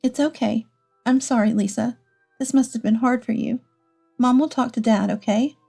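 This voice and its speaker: An earnest, wounded wife and mother, acted by a woman narrator, speaks pleadingly in a story drama. The voice is fairly high and soft-toned. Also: fairly even intonation, moderate pace, gravelly, husky, faint music behind. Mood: soothing. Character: adult wife and mother, hurt but protective.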